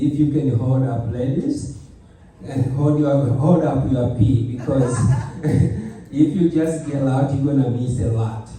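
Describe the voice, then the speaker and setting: A man's voice: mid-range voice, mellow. A man speaking as a panel speaker to a live audience, playful and laughing at his own lines. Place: event hall. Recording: echoey hall from far off, crowd behind.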